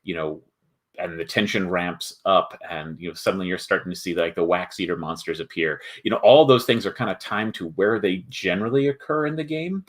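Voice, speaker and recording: Man, lower-pitched voice, informal livestream host, halting delivery, thinking aloud. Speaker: host of a board game company livestream. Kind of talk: casual livestream chat with viewers.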